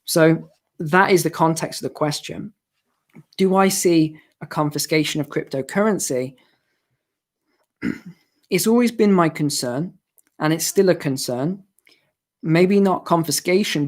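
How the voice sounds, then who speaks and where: Hoarse man hosting a finance Q&A. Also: a little high, a little slow, pauses often. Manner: explanatory, chatty, with restarts and asides. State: hoarse, losing his voice. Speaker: finance channel host. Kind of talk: live-stream Q&A, answering viewer questions.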